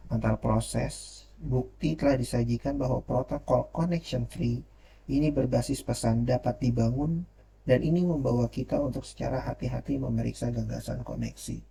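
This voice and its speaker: A man's voice: mid-range voice, gravelly, warm tone, low-quality recording. A man giving a formal read-out lecture presentation, occasionally stumbling over words as he reads. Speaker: presenter reviewing a technical paper.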